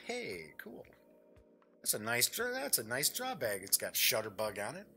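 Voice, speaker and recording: A man's voice: mid-range voice, huge pitch swings, normal speed, bright, forceful tone, soft background music. A man hosting a game show, giving casual, off-the-cuff unboxing commentary in a chatty, jokey way, often muttering asides to himself. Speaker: host of a tabletop game review show.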